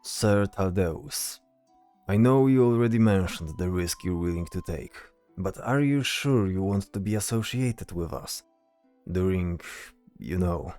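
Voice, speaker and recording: A man's voice: low-pitched, lively intonation, a little slow, mellow, dry recording, soft background music. A man playing a cautious, weary soldier with dry patience in a fantasy audio drama. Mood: earnest, uneasy.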